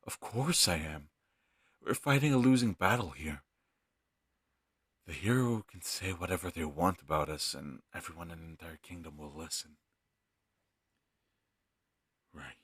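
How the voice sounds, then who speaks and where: A man playing an earnest, kind fantasy healer in an audio roleplay, speaking in a gentle, hesitant, slightly husky voice. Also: low voice, dry recording, clean studio sound, exaggerated voice. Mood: frustrated, earnest. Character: earnest, kind young male fantasy healer, self-doubting.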